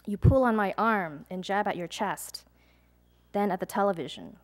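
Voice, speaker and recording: A young adult woman, a poet, reading her poems aloud at a live event, measured and deliberate, with chatty, hesitant asides between pieces. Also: medium pitch. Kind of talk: poetry reading with casual asides to an audience.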